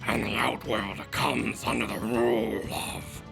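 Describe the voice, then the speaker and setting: A man voicing a savage mutant warrior in slow, menacing narration, with a gravelly, whispery rasp. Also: mid-range voice, fairly even intonation, over music. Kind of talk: dramatic scripted narration.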